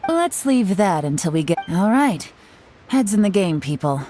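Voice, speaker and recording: An actress playing a confident, teasing covert agent in a video game, speaking with playful self-assurance. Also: female voice, medium pitch, very animated, moderate pace, heavy background noise, lightly acted. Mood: businesslike. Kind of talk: video game character acting.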